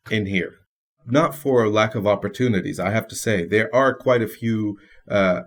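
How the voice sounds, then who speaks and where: Low-voiced Lebanese-born man, thoughtful. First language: Lebanese Arabic. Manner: thoughtful, with frequent pauses and restarts. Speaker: guest. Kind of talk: casual conversation.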